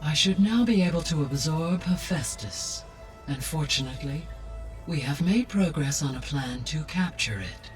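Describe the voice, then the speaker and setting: A man playing a calm, precise artificial intelligence in a video game, speaking in a measured, formal, analytical way. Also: fairly high pitch, recorded from a distance, close to an everyday voice. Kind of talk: scripted video game cutscene acting. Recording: music behind the voice.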